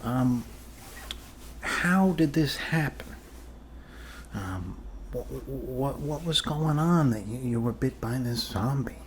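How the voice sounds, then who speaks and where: Slow-talking male ASMR doctor, halting and reassuring. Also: medium pitch, animated, slight background noise. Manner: halting, reassuring, trailing off with fillers and pauses. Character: calm, quirky male doctor treating a zombie bite. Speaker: ASMR performer playing a doctor in a role-play. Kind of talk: ASMR role-play, improvised one-sided consultation.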